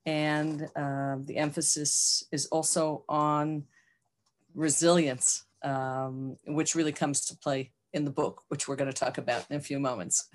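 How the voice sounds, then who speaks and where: A woman's voice: low voice, little pitch movement, measured pace. An older woman with an American accent, a psychologist talking about herself as a guest in a casual interview. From United States.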